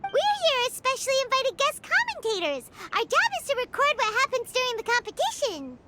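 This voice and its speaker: A woman's voice: very high-pitched, sing-song, fairly quick, heavy background noise. A woman voicing a tiny, chatty female fairy companion who talks about herself in the third person. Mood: chirpy. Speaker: guest commentator. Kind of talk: video game voice acting. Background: game music.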